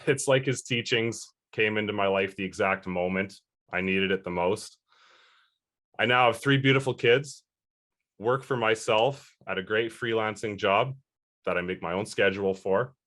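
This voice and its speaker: A man's voice: lowish voice, fairly bright tone. A man in his early thirties answering interview questions earnestly, rambling a little with fillers and restarts. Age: 30s. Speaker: interview guest.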